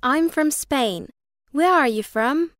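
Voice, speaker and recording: A woman voicing a friendly child from abroad in a scripted textbook dialogue, with a clear, slow, careful delivery. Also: high-pitched, expressive, no echo, clean studio sound.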